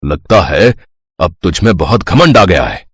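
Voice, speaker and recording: Man acting a scornful rich eatery owner, low husky voice, domineering, cartoon villain. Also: very animated, unhurried, no room sound, studio quality, full character voice. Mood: angry. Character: arrogant, bullying wealthy male eatery owner. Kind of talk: Hindi cartoon story dialogue.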